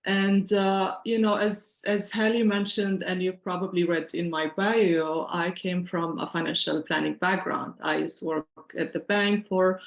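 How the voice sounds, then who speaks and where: Middle-aged woman, Syrian accent, earnest workshop presenter on a video call; lowish voice with some fry. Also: steady tone, measured pace, few pauses, echoey room, poor audio. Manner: earnest, explanatory, conversational. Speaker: financial wellness workshop presenter.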